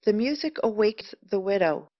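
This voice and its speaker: A woman's voice: mid-range voice, animated, normal speed, no echo, close to an everyday voice. A woman narrating the scripted stage directions of an audio play. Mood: neutral. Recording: dull, lo-fi, dry audio.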